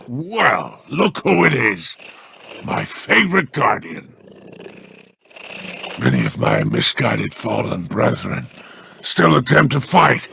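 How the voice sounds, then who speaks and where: An actor voicing a smooth, scheming male alien crime boss in a video game, talking in a silky, sly, theatrical way. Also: medium pitch, bright, forceful tone, a little hiss or hum, heavily acted.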